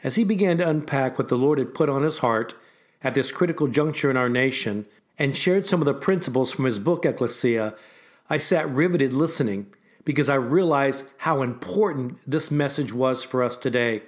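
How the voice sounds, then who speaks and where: A man hosting a ministry podcast, giving an earnest, measured, prepared introduction and listing his points in series. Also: medium pitch, expressive. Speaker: podcast host.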